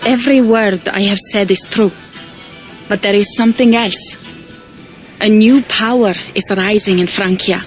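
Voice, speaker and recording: A woman's voice: mid-range voice, a little slow, soft background music, close to an everyday voice, poor audio. An actress playing a proud Viking woman envoy, earnest and persuasive.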